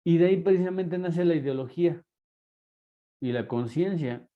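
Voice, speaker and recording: A man's voice: fairly high pitch, moderate pace, phone-quality sound. A man with a Mexican accent, lecturing a class and asking rhetorical questions. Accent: Mexican Spanish. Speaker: teacher.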